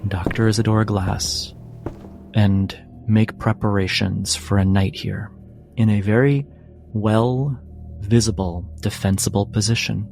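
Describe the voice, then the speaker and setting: A low-voiced man runs a tabletop role-playing game. He narrates scenes deliberately, pausing between short phrases, with music clearly playing behind him. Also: fairly even intonation, darkish tone. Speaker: game master.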